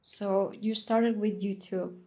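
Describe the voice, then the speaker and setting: A woman with a warm, mellow voice asks casual interview questions in the middle range of pitch. She often adds short, encouraging sounds of agreement. She is the channel's host, speaking in a guest's home. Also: fairly even intonation, slow. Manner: brief encouraging backchannels.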